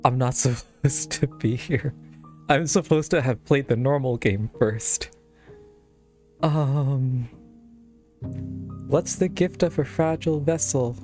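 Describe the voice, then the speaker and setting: A man's voice: medium pitch, slow, long pauses, darkish tone, soft background music. A man giving casual, wry playthrough commentary as a game streamer, with understated reactions.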